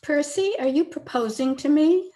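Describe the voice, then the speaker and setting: A woman playing a self-possessed, gently frank and teasing young woman in old-time radio drama style. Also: high-pitched, lively intonation, normal speed. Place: at home, recorded over a video call.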